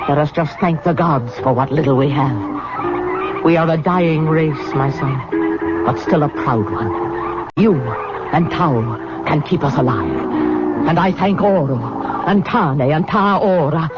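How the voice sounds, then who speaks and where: A woman's voice: sits low, animated, takes it slowly. An actress playing a proud, commanding old Hawaiian queen and mother in a radio drama, speaking solemnly and formally. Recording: dull old radio recording with music behind.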